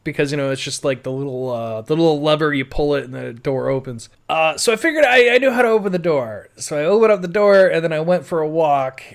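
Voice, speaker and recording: A man's voice: fairly high pitch, lively intonation, quick pace, hardly any pauses. A man with an American accent telling personal stories casually, rambling informally and swearing. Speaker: podcast host.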